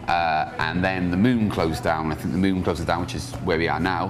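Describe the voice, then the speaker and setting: A man with a very deep voice speaks as a festival organiser, running through details in a plain, matter-of-fact way. Faint music plays in the background. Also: steady tone, moderate pace. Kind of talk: interview answer.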